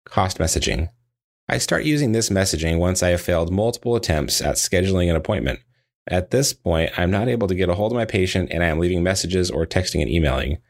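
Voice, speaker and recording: Low-pitched man reading instructional narration. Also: animated, fast talker. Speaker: dental practice trainer.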